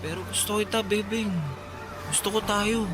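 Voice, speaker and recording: A man's voice: high voice, flat delivery, moderate pace, music in the background, close to an everyday voice. A man playing an eager, earnest young carnival boy in love, in a romantic radio drama. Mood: pleading.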